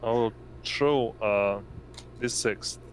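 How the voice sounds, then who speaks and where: Slow, low-voiced male game master. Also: slight background noise, poor audio. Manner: halting, often pausing to find words. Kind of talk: narrating a tabletop roleplaying game.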